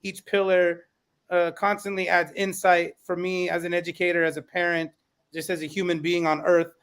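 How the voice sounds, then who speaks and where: A man's voice: high voice, flat delivery, normal speed, fairly bright tone, smooth. A man co-hosting a podcast, an educator giving earnest thanks to the panel. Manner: earnest, grateful. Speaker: co-host, educator. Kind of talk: closing thank-you remarks.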